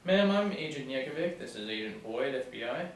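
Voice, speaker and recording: An eager FBI agent in a TV drama , read by a man at a table read. He talks quickly and defers to his boss. The room is very echoey. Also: mid-range voice, animated, natural voice. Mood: brisk, official. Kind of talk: table read of a TV pilot script. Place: small meeting room, seated in a row. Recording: echoey room, distant mic, faint noise.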